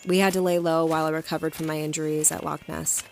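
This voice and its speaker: A woman's voice: deep voice, flat delivery, fast talker, vocal fry, slight background noise. An actress playing a guarded, anxious woman field agent who narrates her story in an audio drama. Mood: anxious, reflective narration. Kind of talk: audio drama acting with first-person narration. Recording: music under the voice.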